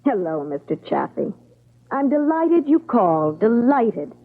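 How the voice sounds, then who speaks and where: High-voiced, indignant fiancée. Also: female voice, sing-song, a little slow, heavily acted. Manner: sharp-tongued, emotional. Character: indignant, protective fiancée of a bullied man. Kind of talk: old-time radio drama acting. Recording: dull, noisy lo-fi radio recording.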